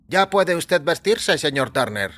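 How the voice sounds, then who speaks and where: A talkative, genial doctor, acted by a man in an audio story. The voice rides high and animated, breezy and matter-of-fact, with music underneath. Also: measured pace. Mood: brisk, genial. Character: chatty, jovial doctor, curious and matter-of-fact. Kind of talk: audio fiction character acting. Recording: music behind the voice.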